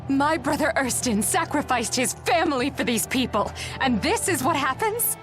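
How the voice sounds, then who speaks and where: A woman's voice: high-pitched, animated, moderate pace. An actress playing a proud, disillusioned noblewoman in an English video game. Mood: bitter. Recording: background music under the voice.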